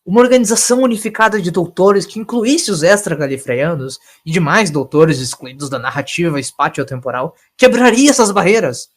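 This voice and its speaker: Guy doing an impassioned aristocrat speechifying, emphatic, pitched up, audio drama style. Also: lively intonation, brisk, hardly any pauses. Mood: impatient, fervent. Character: impassioned aristocratic male Doctor, idealistic and impatient.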